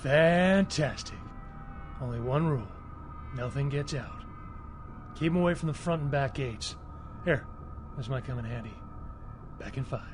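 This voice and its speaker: An actor playing a cocky, trigger-happy cemetery caretaker in a video game, with a laid-back, slangy, wisecracking manner. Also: male voice, medium pitch, measured pace, long pauses, noisy background, lightly acted. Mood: upbeat, casual. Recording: game music behind the voice.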